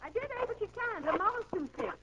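A brisk, strict American farm wife in an old radio play. Her high voice moves up and down in lively, fussing phrases. The sound is dull vintage broadcast. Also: background noise. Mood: firm, brisk. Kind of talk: 1940s radio acting. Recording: muffled 1940s radio broadcast.

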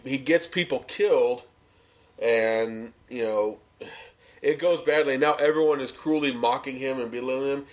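An American man co-hosting a podcast, chatting casually through a review in an opinionated, sardonic way. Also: mid-range voice. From United States. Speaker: podcast co-host.

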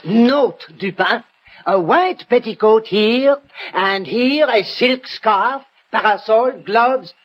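Excitable French police prefect, self-satisfied and pompous, high voice, muffled noisy old radio drama recording. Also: male voice, takes it slowly. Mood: triumphant. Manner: pompous, triumphant, exclamatory. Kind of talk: 1960 radio mystery acting.

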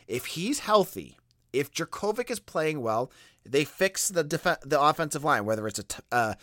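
A man in his thirties with a Boston accent, hosting a sports podcast in a casual, animated way and thinking aloud with quick restarts. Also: on the high side, no echo, clean studio sound. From Boston area. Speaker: podcast host.

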